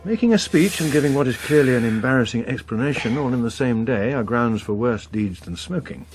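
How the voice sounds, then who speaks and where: An actor playing a suave, unflappable middle-aged chief whip in a British radio drama, with an upper-class English accent and a dry, urbane way of talking. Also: male voice, mid-range voice, animated, a little fast, a little hiss or hum, close to an everyday voice. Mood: dry amusement.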